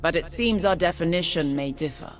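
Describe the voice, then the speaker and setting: An actress playing a poised, regal noblewoman in a video game, speaking formally in cool, measured phrases. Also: on the low side, distant mic, faint music behind, close to an everyday voice. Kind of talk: video game character voice lines.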